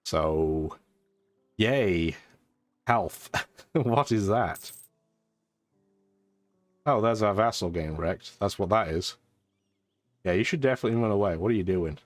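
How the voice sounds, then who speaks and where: A man's voice: fairly low pitch, expressive, measured pace, long pauses, no room sound. A man with a stuffy, bunged-up voice giving casual, dry, chatty commentary as he plays a strategy game. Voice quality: congested, stuffy nose. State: lingering sore throat, blocked sinuses. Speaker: gaming video host. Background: background music.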